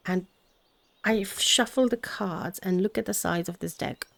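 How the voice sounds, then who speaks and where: A woman tarot reader whose first language is Hindi, her voice sitting a little low. She talks gently and meanders, often pausing on fillers and restarting, with birds chirping faintly behind her. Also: gravelly, no echo. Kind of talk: spiritual tarot reading.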